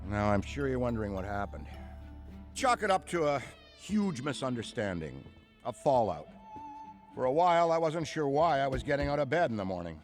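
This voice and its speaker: A man's voice: medium pitch, very animated, normal speed, soft background music, close to an everyday voice. A middle-aged man playing a nostalgic, effusive, bookish veteran ghost hunter in a game cutscene, talking in a wordy, theatrical way. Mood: nostalgic, proud. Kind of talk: video game cutscene monologue.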